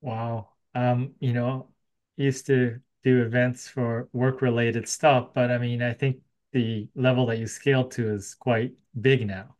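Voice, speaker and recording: Male podcast host, average pitch, casual interviewing style. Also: flat delivery, unhurried, a little mellow.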